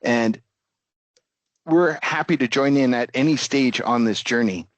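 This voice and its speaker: A man presenting business technology as a company executive, explaining step by step in a halting way with pauses mid-phrase. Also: mid-range voice, dry recording. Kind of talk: business presentation with product demo pitch.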